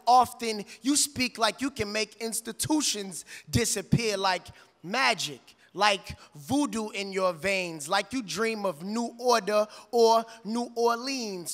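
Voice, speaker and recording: Male spoken word poet: bright, forceful high voice, declamatory and rhythmic, in a reverberant hall. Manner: declamatory, emphatic, rhythmic delivery. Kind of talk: spoken word poetry performance.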